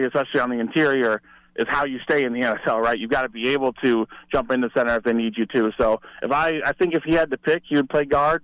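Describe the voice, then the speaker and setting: Guest sports analyst, male. Mid pitch, crisp resonant voice, dry sound, talking through football prospects conversationally. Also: fairly bright tone, no echo, poor audio.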